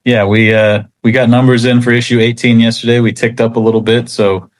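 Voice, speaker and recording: A man chatting casually as an interview guest, talking about his work in a halting, laid-back way. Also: mid-range voice, little pitch movement. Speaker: interview guest, comic book writer.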